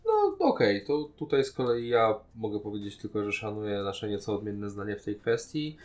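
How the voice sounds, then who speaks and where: A man's voice: fairly low pitch, a little fast, darkish tone. A man, co-hosting a podcast in a casual, conversational discussion of opinions. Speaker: podcast co-host.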